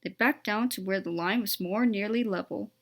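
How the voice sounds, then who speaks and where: A woman's voice: mid-range voice, moderate pace. A woman reading a children's story aloud as a scripted narration.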